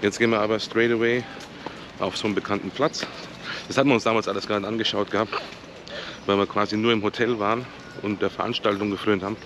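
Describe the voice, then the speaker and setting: A man's voice: fairly low pitch, fairly even intonation, quick pace. A man in his thirties narrating a casual travel vlog outdoors, talking into an action camera at arm's length and mixing in English words. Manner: chatty, mixes in English words. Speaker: travel vlogger. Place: outdoors in old town streets. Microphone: action camera held at arm's length. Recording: noisy outdoor street ambience.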